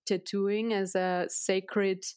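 A woman's voice: medium pitch, level tone, takes it slowly, a little mellow, no echo, studio quality. A woman with a Russian accent, a Russian native speaker, talking as a tattoo artist guest in a casual interview, hesitant and filling her speech with "like".

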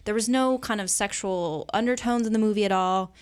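A woman's voice: medium pitch, fairly quick, clean tone. A woman guest co-host in a casual film discussion, speaking in an opinionated, emphatic way.

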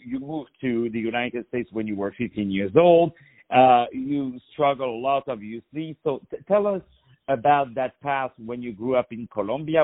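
A man's voice: mid-range voice, narrow, tinny audio. A man hosting a radio interview, effusive and full of praise, often repeating his words as he talks. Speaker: radio host.

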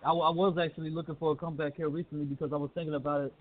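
A man from the southern US calling into a talk radio show, relaxed and full of fillers like "uh" and "like". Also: fairly high pitch, racing. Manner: laid-back, many fillers. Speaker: caller. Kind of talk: call-in talk radio chat. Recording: phone-line audio with faint hiss.

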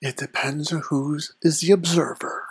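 A performer voicing a vain, haughty Devil in a comic mock interview, speaking tersely and formally with a husky, breathy rasp. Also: medium pitch, fairly even intonation, no echo. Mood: cool, cryptic. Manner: terse, formal, slow and deliberate.

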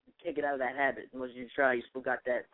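A man's voice: mid-range voice, flat delivery, racing. A man with a Philadelphia accent, a rapper guest on a radio show, talking in easygoing street slang. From South Philadelphia. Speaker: guest musician. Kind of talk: casual interview chat. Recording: tinny phone line.

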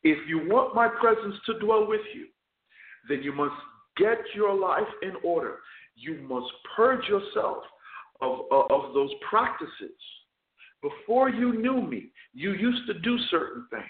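A man preaching a radio sermon as chaplain and host, hoarse and losing his voice, often stopping to restart or repeat words. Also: sits high, expressive, a little slow. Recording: tinny phone line, echoey.

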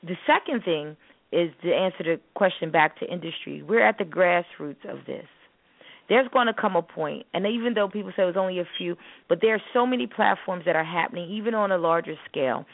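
A woman's voice: fairly low pitch, a little fast, creaky, no echo. Emphatic woman on a narrowband phone line. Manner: emphatic, instructive, repeats phrases for stress. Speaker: co-host of a spoken word business show; spoken word artist. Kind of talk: opinionated discussion on a call-in show.

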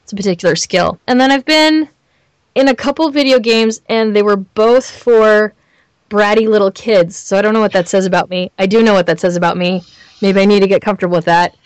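A woman with a Southern California accent talking candidly in an interview as a voice actor, emphatic and expressive in how she tells things. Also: medium pitch, fairly quick, no echo. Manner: animated storyteller, emphatic repetition, acts out imagined lines. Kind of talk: candid, chatty interview talk.

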